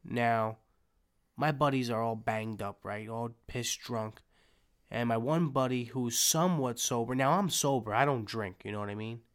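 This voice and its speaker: A man's voice: medium pitch, moderate pace. Young man telling a swearing story. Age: young adult. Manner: storytelling, frequent swearing, "you know what I mean". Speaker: podcast co-host. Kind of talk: casual chat.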